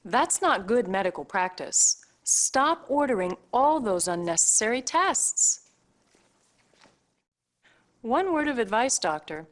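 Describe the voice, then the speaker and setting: An actress playing a brisk hospital staffer in a video game cutscene, instructing and teasing the player in a pointed way. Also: female voice, fairly high pitch, very animated. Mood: brisk, scolding. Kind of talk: acted game cutscene dialogue addressed to the player.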